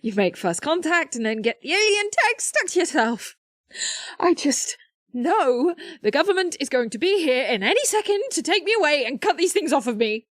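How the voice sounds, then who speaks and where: A woman playing a nervous, flustered teenage tech tinkerer in an audio drama, halting and stammering. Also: high voice, sing-song, no room sound, studio quality. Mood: panicked muttering.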